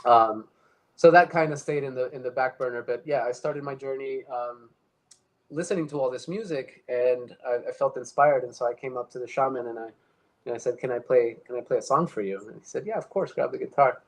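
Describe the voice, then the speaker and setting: A man's voice: mid-range voice, a little fast. Reflective man from Ecuador, many fillers. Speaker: guest. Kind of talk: casual conversation, personal story.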